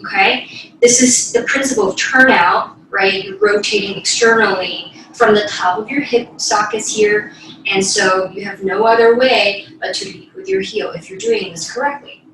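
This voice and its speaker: A woman's voice: medium pitch, normal speed, fairly bright tone, a little hiss or hum. A woman ballet teacher leading an online class from a bedroom, giving short, encouraging cues while demonstrating, picked up by a camera across the room. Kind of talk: instructing an online dance class. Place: bedroom, indoors. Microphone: camera mic across the room. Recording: echoey room, distant mic, music over voice. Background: music.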